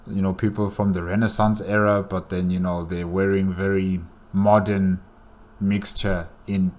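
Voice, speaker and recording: A man with a fairly low voice gives casual reaction commentary. He muses out loud and puts questions to himself as he goes. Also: level tone, measured pace. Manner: musing, questioning aloud. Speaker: reaction video host.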